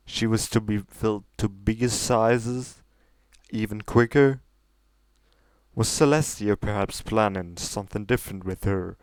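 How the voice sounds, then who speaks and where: A man's voice: fairly low pitch, smooth, dry recording. A man reading fiction aloud as a scripted, dramatic narration. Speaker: story reader.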